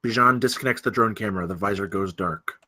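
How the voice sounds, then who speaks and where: A man's voice: fairly low pitch, fast, pushed and bright. A man narrating screenplay stage directions in a dramatic, scripted style at a table read.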